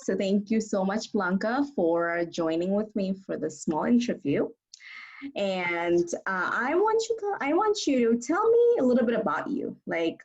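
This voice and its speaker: A woman's voice: mid-range voice, darkish tone. Young woman interviewer, chatty and encouraging, lots of "like", muffled lo-fi audio. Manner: friendly, encouraging, many fillers like "like" and "you know". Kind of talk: casual interview questions.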